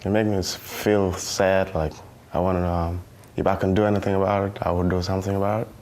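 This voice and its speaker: A nineteen-year-old man from tells his own story for a documentary, speaking haltingly with many fillers and restarts. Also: deep voice, little pitch movement, a little mellow. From South Sudan. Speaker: documentary interviewee. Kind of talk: personal testimony in a youth documentary.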